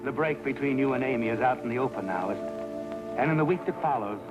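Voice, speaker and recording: An actor playing a sinister, all-knowing narrator who taunts a wrongdoer in a dramatic, insinuating 1950s suspense style. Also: male voice, medium pitch, expressive, quick pace, close to an everyday voice. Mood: ominous, taunting. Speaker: narrator of a crime anthology show. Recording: muffled old broadcast soundtrack with loud music. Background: dramatic background music.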